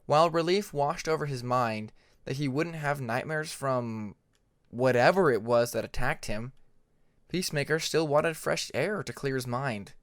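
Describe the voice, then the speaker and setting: A man reading fiction aloud as a scripted audiobook narration in a measured storytelling delivery. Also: medium pitch, no room sound, clean studio sound. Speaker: amateur fan fiction narrator.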